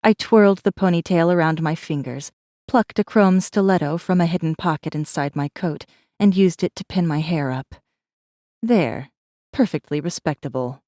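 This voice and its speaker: A woman reading a first-person story as an audiobook narrator, with measured, dramatic delivery. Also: fairly low pitch, mellow, no room sound, studio quality.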